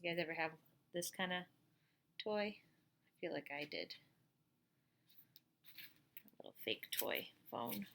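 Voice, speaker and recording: A middle-aged woman reading a picture book aloud like a teacher at storytime, expressive, with chatty asides and questions to young listeners. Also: on the low side, takes it slowly, gravelly, pauses often, slight background noise.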